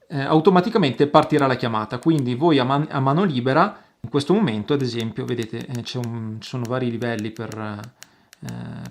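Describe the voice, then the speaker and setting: A man hosting an outdoor gear review, explaining casually to viewers with halting pauses and repeated words. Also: mid-range voice. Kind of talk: casual product demonstration to viewers.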